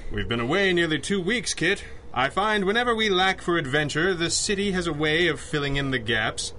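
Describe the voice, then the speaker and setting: A suave gentleman masked hero in an old-time radio adventure. He speaks in a bright, forceful tone, formal and wryly commanding. Some background ambience sits under his voice. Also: a little high, lively intonation, brisk, slight background noise. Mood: wry, assured. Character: suave, unflappable gentleman masked superhero boss. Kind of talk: 1930s radio drama acting.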